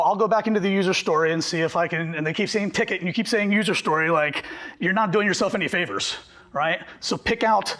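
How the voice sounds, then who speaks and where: A man presenting a workshop to a group, talking fast and animated, slightly hoarse from losing his voice. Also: a little high. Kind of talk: live workshop talk to a group.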